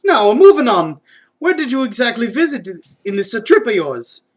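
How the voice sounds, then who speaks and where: An excitable, warm-hearted game plumber, as a man acts him out with an Italian accent. The voice sits high and swoops around a lot, leaning hard on drawn-out words. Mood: curious, upbeat. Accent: put-on Italian. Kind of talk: amateur dramatic fan fiction reading.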